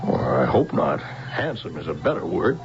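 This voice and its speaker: Gravelly american scientist guy, fatherly and earnest, old radio play, muffled sound with some music. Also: lowish voice, sing-song, normal speed. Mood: reassuring. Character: protective older scientist, tender fatherly guardian to a young woman. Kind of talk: 1970s American radio drama acting.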